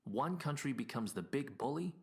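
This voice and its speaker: A man playing a firm, skeptical citizen in an audio drama, measured and pointed. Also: medium pitch, expressive, gravelly.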